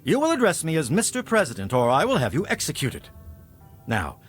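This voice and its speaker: An actor playing a pompous, officious self-declared president in a video game, with a threatening manner. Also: male voice, a little high, huge pitch swings, a little fast, slightly rough, faint music behind, full character voice. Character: pompous self-declared president of a tiny settlement, adult man. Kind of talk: video game character acting.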